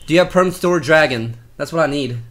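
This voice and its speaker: A man streaming a shooter game, chatting casually, teasing teammates and shouting callouts from a room at home. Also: fairly high pitch, fairly quick. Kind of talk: casual gaming chat with callouts.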